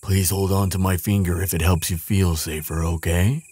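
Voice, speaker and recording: A man playing a huge, gentle giant in an audio roleplay, soft and breathy, speaking in a soothing, doting, unhurried way to the listener. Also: low-pitched, level tone, dry recording. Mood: reassuring, gentle. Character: huge gentle male giant, tender and caring. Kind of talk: audio roleplay acting, softly spoken to the listener.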